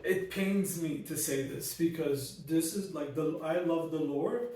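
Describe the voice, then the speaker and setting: A man's voice: fairly high pitch, measured pace, a little mellow, far from the mic, slight background noise. Male booktuber, chatty and ranty, in a very echoey room a step back from the mic. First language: not Arabic; Arabic learned as second language. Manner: ranty, many fillers and restarts. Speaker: book reviewer. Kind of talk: casual spoken book review.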